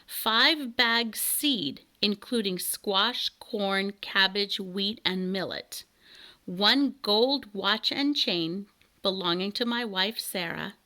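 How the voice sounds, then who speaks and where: A woman's voice: medium pitch, bright, forceful tone. A woman voicing an eager, earnest boy adventurer in a children's storybook.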